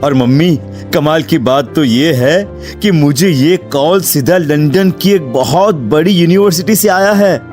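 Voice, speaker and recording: An anxious young student from a cartoon horror story, played by a man. His voice sits a little high for a male and he speaks expressively, curious and quick to exclaim. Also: a little mellow, soft background music, close to an everyday voice. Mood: excited. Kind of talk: dramatised Hindi cartoon horror story dialogue.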